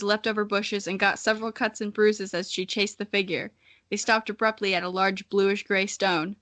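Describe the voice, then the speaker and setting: A woman's voice: medium pitch, pushed and bright, no room sound. A young adult woman hosting a podcast, reading a childhood story dramatically with amused, self-mocking casual asides. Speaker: podcast host.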